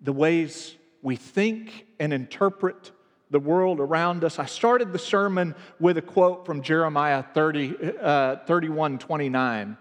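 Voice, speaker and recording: A man's voice: on the high side, measured pace, in a large hall. A man from the American South preaching a reflective sermon as pastor, earnest and building in emphasis.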